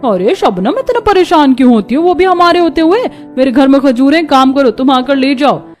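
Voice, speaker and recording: Woman voicing a high, chatty cartoon housewife. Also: expressive, normal speed, soft background music. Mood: helpful, friendly. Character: chatty, helpful young housewives, daughters-in-law in a cartoon.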